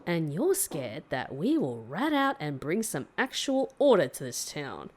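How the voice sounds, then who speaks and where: An actress playing a defiant, sharp-tongued hitchhiker in an audio drama, confrontational and sarcastic. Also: female voice, sits low, huge pitch swings, unhurried, slight background noise, heavily acted.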